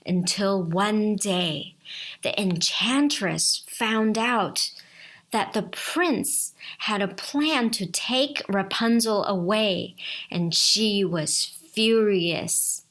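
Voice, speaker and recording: A woman narrating a bedtime fairy tale, deliberately, in short phrases with pauses. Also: medium pitch, fairly bright tone. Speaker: storyteller. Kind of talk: bedtime story narration, simple and unhurried.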